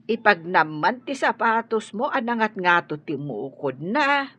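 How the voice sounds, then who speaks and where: A woman's voice: mid-range voice, big pitch swings, measured pace, sharp tone, muffled recording, faint music behind. A woman playing a tender, prayerful old grandmother in an audio drama, speaking with sighing emotion.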